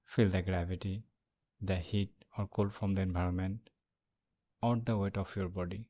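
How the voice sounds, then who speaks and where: A man leading a guided meditation as the instructor, speaking in a calm, measured way with long pauses. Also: low-pitched, lo-fi sound.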